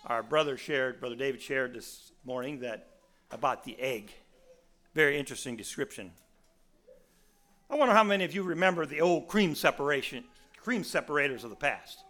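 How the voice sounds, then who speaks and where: A man in his sixties with a raspy voice, preaching a sermon in a folksy storytelling way with many restarts. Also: medium pitch, expressive, normal speed, long pauses, sharp tone.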